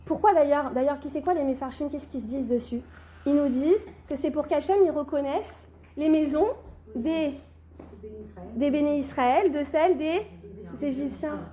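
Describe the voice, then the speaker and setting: A woman's voice: high-pitched, normal speed, mellow, a little hiss or hum. A woman teaching a religious class who has a cold, putting questions to her students and checking "d'accord?" as she goes. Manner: questions the class, then answers, often saying "d'accord?". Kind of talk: recorded Torah class.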